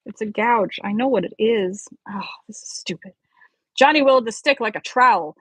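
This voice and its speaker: A woman's voice: a little high, a bit bright, no room sound, low-quality recording. Woman reading aloud, dry close sound. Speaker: amateur audiobook reader. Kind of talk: expressive story read-aloud.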